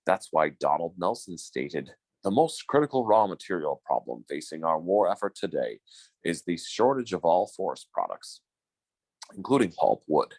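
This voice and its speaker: Man as swooping low-voiced 1940s radio announcer. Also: sing-song, normal speed, a little mellow, dry recording, exaggerated voice. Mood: earnest, formal. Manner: declamatory, formal. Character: earnest, polished male 1940s radio announcer. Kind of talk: 1940s radio announcing, scripted sponsor message.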